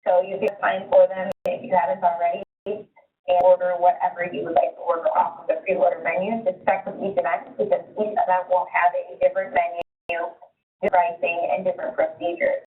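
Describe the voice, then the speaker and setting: A woman's voice: on the low side, flat delivery, brisk. A woman presenting practical information informally on a conference call, explaining and advising in a conversational way. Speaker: conference call presenter, robotics team mentor. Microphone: phone conference call. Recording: muffled phone-line conference call, very distant.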